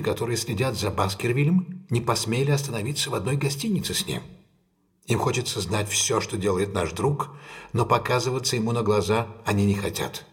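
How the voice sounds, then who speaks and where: An actor playing a shrewd, self-possessed gentleman detective in an audio drama, measured and authoritative. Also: mid-range voice, lightly acted.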